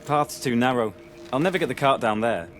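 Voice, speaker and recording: A man's voice: mid-range voice, a little fast, fairly bright tone, lightly acted. A man voicing a sarcastic young hero in a game, with a wry, offhand manner. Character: sarcastic young male hero driving a cart. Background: music.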